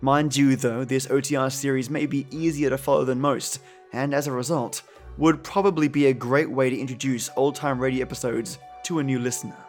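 A man's voice: mid-range voice, steady tone, a little fast. Chummy male podcast host over music. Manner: chummy, affectionate toward listeners. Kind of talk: podcast outro, addressed to listeners.

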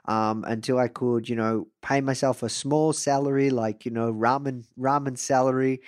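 A man hosting a podcast interview, talking in a relaxed, conversational way with lots of filler phrases. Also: mid-range voice, moderate pace, dry recording, studio quality. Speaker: podcast host. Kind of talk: casual interview chat.

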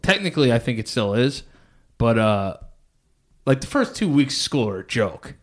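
A man's voice: mid-range voice, very animated, moderate pace. A man of thirty co-hosting a podcast, chatting casually in a blunt, crude, joking way. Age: 30s. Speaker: podcast co-host. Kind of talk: casual chat.

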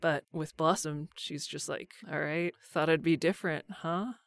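A woman's voice: low-pitched, fairly even intonation, normal speed, gravelly, no echo. A woman voice actor chatting casually as an interview guest, with informal, loosely built phrasing. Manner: informal, hesitant phrasing full of "like". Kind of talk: casual cast interview chat.

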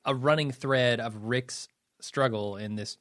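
A man's voice: medium pitch, measured pace, a bit bright, no room sound, clean studio sound. A man co-hosting a casual podcast, easygoing and thinking aloud. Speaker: podcast co-host.

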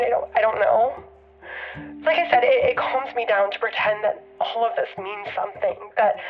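A woman's voice: fairly high pitch, close to an everyday voice. An actress with an American accent playing an anxious, grieving woman leaving a hesitant, rambling, confessional voicemail in an audio drama. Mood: pleading, upset. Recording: muffled lo-fi phone message with music behind.

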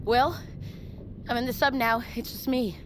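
A woman's voice: a little high, fairly even intonation, measured pace, background noise, close to an everyday voice. A woman playing a desperate, defiant member of an undersea expedition in audio fiction. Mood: sad, pleading.

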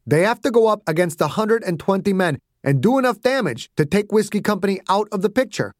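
Narrator doing army general guy, careful, reluctant, pitched up a bit, politely arguing back, audiobook. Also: lively intonation, fairly quick, darkish tone, dry recording, clean studio sound, close to an everyday voice. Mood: reasoning. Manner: reasoning, objecting, deferential. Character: cautious adult male army general, reluctant subordinate.

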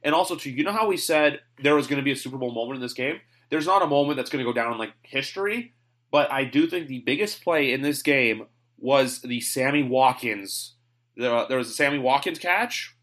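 A man hosting a sports podcast in casual chat, talking on and on with firm opinions. His voice sits at an ordinary middle pitch. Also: lively intonation, a little fast, a bit bright. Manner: chatty, rambling, opinionated. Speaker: podcast host.

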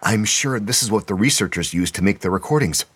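A man's voice: on the low side, expressive, fairly quick, a bit bright, slightly rough, pitched above the performer's own voice. A man voicing an eager, slightly sheepish male technician with a mild, obliging manner in an audiobook story.

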